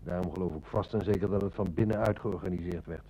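A man's voice: deep voice, fast talker, warm tone, poor audio. A middle-aged man acting a seasoned, confident police inspector in a Dutch radio play. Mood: self-assured.

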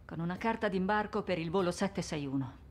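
A woman's voice: fairly low pitch, fairly quick, a little hiss or hum, natural voice. A woman playing a matter-of-fact, serious middle-aged intelligence chief in a video game. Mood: sober, concerned. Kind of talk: cinematic video game dialogue.

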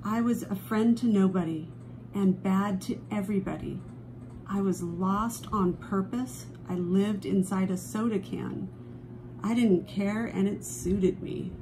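Slow-paced woman reading a storybook aloud. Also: medium pitch, mellow, a little hiss or hum, rough recording. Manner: dramatic, drawn-out emphasis on key phrases. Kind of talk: expressive children's read-aloud. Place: indoors at a table, book held before camera.

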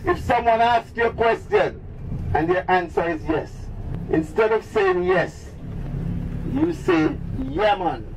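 A man's voice: high voice, sing-song, takes it slowly, gravelly, noisy background. A man with a Jamaican accent, a tour bus driver joking with passengers and repeating catchphrases. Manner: playful, repeats catchphrases, laughs between lines. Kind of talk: joking tour guide patter over a mic. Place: inside a crowded moving minibus. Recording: distant voice in a loud crowded bus.

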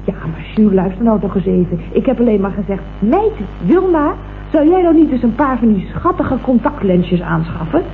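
A gushing, tactless office woman in a 1960s radio comedy. Her voice is fairly high and talkative. The old recording is narrow and muffled, with faint noise. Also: very animated, normal speed. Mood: chatty, gushing. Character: chatty, blunt female office switchboard employee, breezily tactless.

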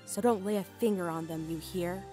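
A woman's voice: lowish voice, normal speed, natural voice. A woman playing a tough, tender, self-sacrificing mother in an emotional, earnest manga dub. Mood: defiant. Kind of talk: dramatic manga voice-over acting. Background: music behind the voice.

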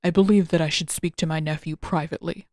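Woman voicing a courteous old general, lowish voice. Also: fairly even intonation, a little mellow, no room sound, clean studio sound, lightly acted. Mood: formal, careful. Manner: formal, measured, polite. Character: placating, courteous older general, a protective uncle.

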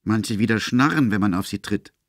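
A man's voice: on the low side, moderate pace, no room sound, clean studio sound. A man narrating a literary radio drama as its storyteller.

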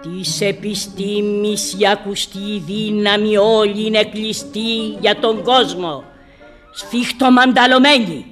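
A woman's voice: medium pitch, fairly even intonation, faint music behind, close to an everyday voice. An actress in a radio drama playing an old witch, chanting spells in an incantatory, declamatory manner. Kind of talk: theatrical radio drama acting.

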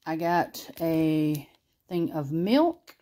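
Lady showing off her groceries, slow and low, chatty, phone cam at the kitchen table. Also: lively intonation, background noise. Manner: chatty, unhurried, homey. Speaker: grocery haul vlogger. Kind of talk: casual show-and-tell narration. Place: kitchen table at home, indoors.

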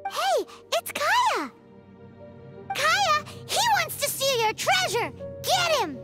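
A tiny game companion girl speaks in an extremely high, squeaky voice. Her delivery is indignant and exclamatory, drawn out slowly with big swoops of pitch. Music plays clearly behind her. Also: big pitch swings, exaggerated voice. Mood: excited, urgent. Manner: speaks of herself in third person, exclamatory. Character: tiny childlike floating girl companion, indignant, easily won over. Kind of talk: video game voice acting.

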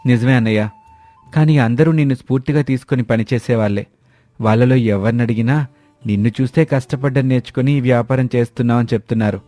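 Cartoon moral tale: gentle, trusting young fisherman brother, voiced by a man, mellow, faint music bed. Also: medium pitch, fast, no echo, close to an everyday voice. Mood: reassuring. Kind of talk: dramatised moral story dialogue.

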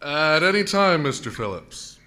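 A man playing a learned, skeptical astronomy professor in a 1930s-style radio drama, measured and scholarly in delivery. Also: fairly high pitch, sing-song, sharp tone, heavily acted. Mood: calm. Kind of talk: 1930s radio drama acting, live performance. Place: staged performance in a planetarium dome.

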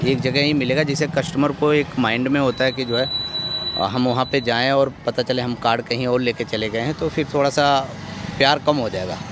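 A man, answering interview questions politely and haltingly, with English words mixed in. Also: mid-range voice, steady tone. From Gorakhpur, Uttar Pradesh, India. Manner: polite, halting, many filler phrases, mixes in English. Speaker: food cart owner being interviewed. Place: outdoors at a roadside. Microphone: interviewer's handheld microphone. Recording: noisy outdoor street with traffic.

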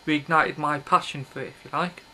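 A man's voice: a little high, steady tone, a little fast, sharp tone, a little hiss or hum. A man in his thirties rambling to a webcam in a small bedroom, blunt and offhand, often breaking off mid-thought. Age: 30s. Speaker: video blogger. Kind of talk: casual rambling monologue to camera. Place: small bedroom with red curtains, indoors. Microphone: built-in webcam mic.

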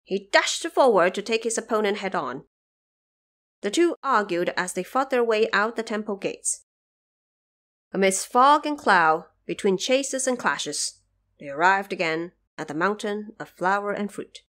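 A woman's voice: mid-range voice, animated, a little slow, a bit bright. A woman narrating an audio drama in a scripted storytelling style.

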